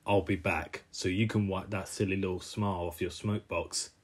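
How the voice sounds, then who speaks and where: A man's voice: low-pitched, fairly even intonation, moderate pace. A man voicing a scornful talking vehicle, a cartoon bully with a contemptuous, mocking manner. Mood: sneering. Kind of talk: amateur cartoon character voice reel.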